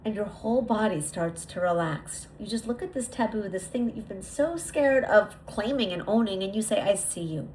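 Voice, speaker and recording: A woman leading a guided visualization, speaking to the listener in a deliberate, repetitive way with lingering pauses. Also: mid-range voice, a little mellow, slight background noise, low-quality recording. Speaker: guided meditation leader.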